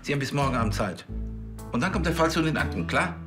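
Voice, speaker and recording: A man's voice: fairly high pitch, animated, fast, music in the background, natural voice. An actor playing an exasperated, impatient male police chief in a television drama.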